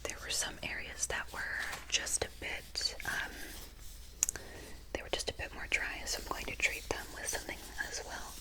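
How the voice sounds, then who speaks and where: A woman speaking in a very soft, breathy whisper, gently and soothingly reassuring as in an ASMR personal-attention roleplay. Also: normal speed, rough recording. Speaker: ASMR creator playing a barber.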